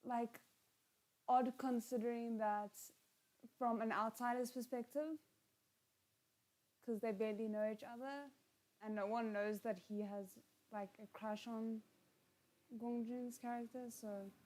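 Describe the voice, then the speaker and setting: A woman hosting a live watchalong, reacting casually and chatting with viewers in a halting, unhurried way, often trailing off mid-thought. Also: on the high side, fairly even intonation, a little mellow, low-quality recording.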